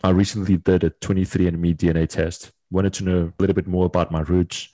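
A man from South Africa introducing himself on a casual podcast, telling his story in a relaxed, steady way. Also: sits low, fast, no echo. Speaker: podcast co-host.

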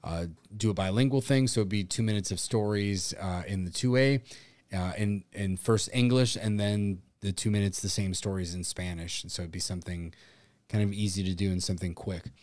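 A man's voice: low voice, fairly even intonation, brisk, darkish tone, dry recording. A man co-hosting a podcast in casual, bantering chat, with a self-deprecating manner. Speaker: podcast co-host.

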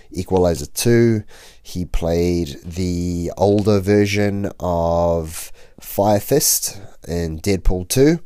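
A man with a low, mellow voice hosts a podcast, and his voice is slightly off while he gets over the flu. He talks in an upbeat, laid-back way. The recording is dry and close, with no room sound. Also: dry recording. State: voice a little off from the flu. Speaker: podcast host. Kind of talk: podcast intro and interview.

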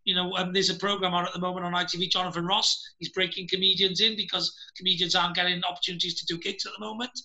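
A man's voice: sits high, level tone, racing, hardly any pauses, bright, forceful tone, rough recording. A Welsh man hosting a casual chat over a video call, rambling with lots of fillers and restarts. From Wales. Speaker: podcast host.

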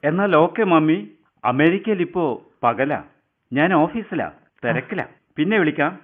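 A busy grown son abroad calling home in a radio drama. He rushes through his words in a lively, rather high voice, heard thin and tinny over a phone line. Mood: hurried. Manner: hurried, brushing off. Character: busy son abroad on a call, hurried and dismissive. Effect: phone call.

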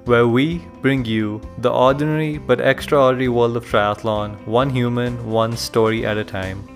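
A man's voice: medium pitch, steady tone, moderate pace, faint music behind. A man hosting a podcast, opening the show with an upbeat scripted intro and then chatting with his guest. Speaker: podcast host.

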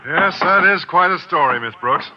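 An actor playing a mild, earnest male schoolteacher with a polite, halting manner in a 1950s radio sitcom. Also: on the high side, expressive, lightly acted. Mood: mild, deflated. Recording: dull, hissy old radio recording.

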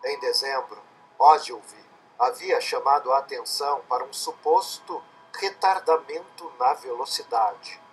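Male reader, medium pitch, literary narration, soft music behind. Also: measured pace, poor audio. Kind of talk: audiobook-style literary reading.

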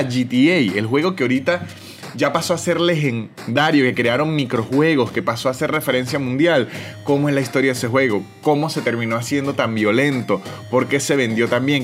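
Venezuelan man in his thirties, easygoing podcast host, talks animatedly at a middling pitch. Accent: Venezuelan Spanish. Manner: chatty, animated, swings between loud and soft. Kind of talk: casual solo podcast monologue with ad reads.

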